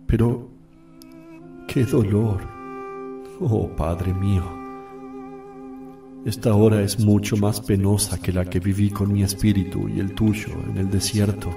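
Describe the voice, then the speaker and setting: A sorrowful holy man praying in a radio play, very slow n solemn, low gravelly voice, roomy w/ music. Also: fairly even intonation. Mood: sorrowful, pleading. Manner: solemn, sorrowful, measured with long pauses. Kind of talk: radio drama acting, slow prayerful monologue. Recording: distant, roomy, with loud music behind.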